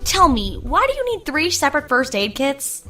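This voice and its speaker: Woman playing a high-voiced, dry, sensible unicorn mare. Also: big pitch swings, normal speed. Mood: skeptical. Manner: dry, questioning, patient. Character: sensible, skeptical, dryly exasperated adult unicorn mare. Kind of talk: animated fan-fiction audio drama acting. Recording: distant, with clear music behind.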